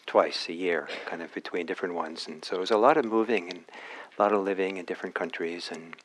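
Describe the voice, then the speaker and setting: A man in his sixties from Norway giving a dharma talk, unhurried, with many pauses and restarts and gentle laughs at his own jokes. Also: sits low, slight background noise, low-quality recording. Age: 60s. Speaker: dharma teacher.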